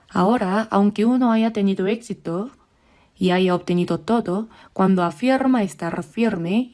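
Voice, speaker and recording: A woman delivers a sermon in a warm, mellow voice. She speaks at a measured pace and draws out the scripture passages slowly. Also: mid-range voice. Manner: measured, slows down for scripture readings. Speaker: preacher. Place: church.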